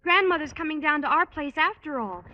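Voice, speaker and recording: A woman's voice: high-pitched, lively intonation, brisk. An American woman acting a sweet, earnest, naive teenage schoolgirl in an old radio sitcom. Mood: cheerful. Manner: chirpy, earnest. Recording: muffled old radio broadcast.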